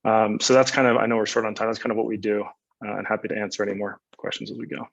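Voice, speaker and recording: A man's voice: on the low side, fairly even intonation, very fast, gravelly. A man explaining his company's approach as a webinar panelist, over dull, muffled online call audio.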